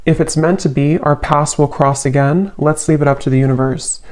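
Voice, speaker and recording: Woman tarot reader with very even pitch. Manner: halting, musing, hedging delivery. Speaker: tarot reader, channel host. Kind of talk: casual intuitive tarot reading.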